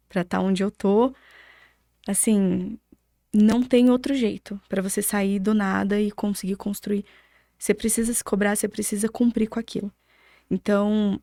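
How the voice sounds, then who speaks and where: Casting: young Brazilian woman, mid-pitched, conversational and motivational interviewee, emphatic, dry close sound. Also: a little mellow, no room sound. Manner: emphatic, asks and answers own rhetorical questions. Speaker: podcast guest, architect.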